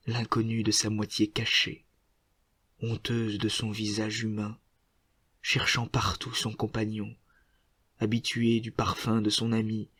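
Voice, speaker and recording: Guy reading poetry, solemn and measured, pretty flat pitch, dry close sound. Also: husky, no echo. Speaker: poetry reader. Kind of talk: literary poetry recitation.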